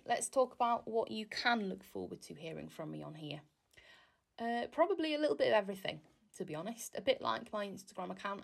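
A young woman from Wales hosts her own podcast, talking on her own in a relaxed, rambling way with plenty of fillers. Her first language is Welsh. Her voice has a noticeable vocal fry running through it. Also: medium pitch. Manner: chatty, self-deprecating, rambling with fillers. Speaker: podcast host. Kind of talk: casual solo podcast monologue.

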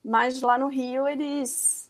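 A woman's voice: high-pitched, flat delivery, slow, low-quality recording. A woman in her forties from Rio de Janeiro with a Carioca accent, a guest telling her story in a casual, chatty, self-deprecating way. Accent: Carioca Brazilian Portuguese. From Rio de Janeiro, Brazil. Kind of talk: casual interview chat.

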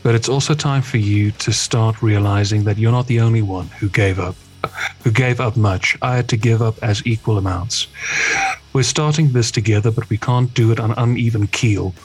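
A man with a booming bass-baritone voice, speaking as a guest in recovery in an earnest, reflective conversation. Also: normal speed, faint music behind, poor audio. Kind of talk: candid conversation.

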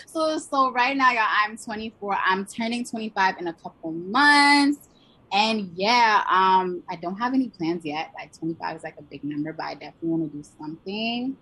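A talkative young woman from Pennsylvania co-hosts a podcast, bubbly and quick to giggle. Her voice is a little husky and sits at a middling pitch. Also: animated, bright, forceful tone, low-quality recording. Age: 20s. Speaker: podcast co-host. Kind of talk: casual podcast chat.

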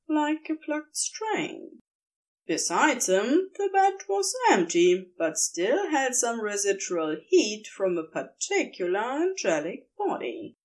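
A woman narrates a story aloud in a relaxed, unhurried way, taking her time over each phrase. Her pitch moves a great deal, rising and dipping with the sentences to keep the telling lively and expressive. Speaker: podfic reader. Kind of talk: audiobook-style reading of fan fiction.

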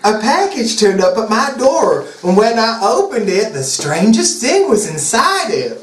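A woman's voice: fairly high pitch, sing-song, unhurried, reverberant, distant mic, slight background noise. A woman voicing a bossy young female werewolf alpha and pop star in a comic story, with an unconvincing Southern drawl and a scolding manner. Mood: grave, dramatic. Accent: unconvincing put-on Southern US drawl.

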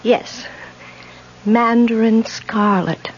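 An actress playing an anxious, suspicious boarding house matron in a 1970s radio drama, speaking emphatically. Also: female voice, on the high side, fairly even intonation, extremely slow, breathy edge, full character voice. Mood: anxious, hesitant. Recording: dull vintage radio broadcast.

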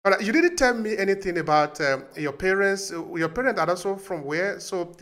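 Quick-talking affirming male host. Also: high voice, lively intonation, a bit bright. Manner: affirming, draws out the guest. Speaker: interview host. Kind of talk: casual interview chat.

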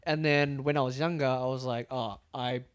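A man's voice: mid-range voice, fairly even intonation, normal speed. A young man in a casual podcast chat, talking informally with slang and often checking that he is understood. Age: young adult. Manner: informal, slangy, checks in with "you know what I mean". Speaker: podcast host.

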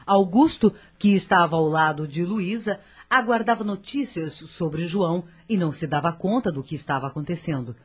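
A woman narrator of a scripted radio drama, husky and dramatic, telling the story with a moralizing turn. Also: lowish voice, moderate pace, hardly any pauses.

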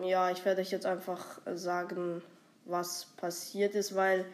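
Eine erkältete Frau, die als Host eines Gaming-Podcasts locker und aufgeregt über ihr Spiel erzählt, mit vielen Füllwörtern. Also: female voice, mid-range voice. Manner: excited, rambling, many fillers. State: has a cold. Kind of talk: casual gaming vlog chat.

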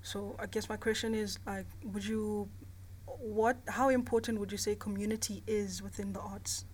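A South African woman hosting a podcast, speaking in a casual, chummy way with relaxed slang. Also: medium pitch, moderate pace, slight background noise. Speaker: podcast host. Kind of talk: casual podcast introduction and interview.

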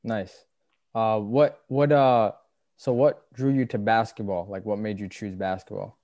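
A man hosting a basketball podcast, asking questions in an easygoing, halting way with frequent fillers. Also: lowish voice, steady tone, darkish tone, no echo, muffled recording. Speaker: podcast host. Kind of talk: casual interview chat.

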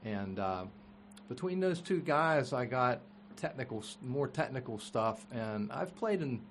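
A man's voice: mid-range voice, expressive, measured pace, a little hiss or hum. A man talking casually as a drummer guest, rambling through his background and humming drum patterns aloud. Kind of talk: casual chat.

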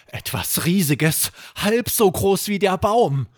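A man narrating a scripted children's bedtime story as podcast host, with expressive, dramatic delivery. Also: sits high, takes it slowly, dry recording, clean studio sound.

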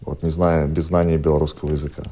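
A man's voice: very deep voice, level tone, fast talker, warm tone. A man co-hosting a podcast in casual chat, who asks probing questions. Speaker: podcast co-host.

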